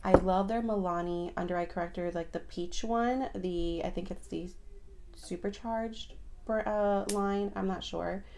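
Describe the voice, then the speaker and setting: A young woman chatting casually through a makeup routine, her voice raspy from just waking up, rambling with lots of fillers. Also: mid-range voice, fairly even intonation, measured pace, background noise. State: raspy morning voice. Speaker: beauty vlogger. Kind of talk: casual get-ready-with-me chat.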